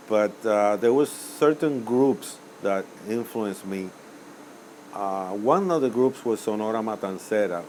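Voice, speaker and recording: An older man from Cuba, a musician answering interview questions in a rambling, hesitant way with many pauses and self-corrections. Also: on the low side, a little mellow, a little hiss or hum, rough recording. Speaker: musician guest.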